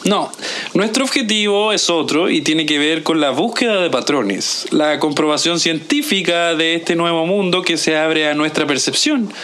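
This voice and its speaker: Male actor: self-assured Chilean entrepreneur, exclamatory and formal, bright, highish voice, audio drama. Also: moderate pace, slight background noise, natural voice. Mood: measured, persuasive. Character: effusive, smooth-talking male entrepreneur running a research lab.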